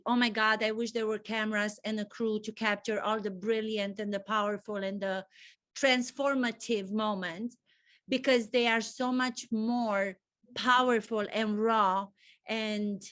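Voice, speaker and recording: A woman's voice: mid-range voice, a bit bright. A woman acting coach hosting a video call, encouraging and full of praise for her students.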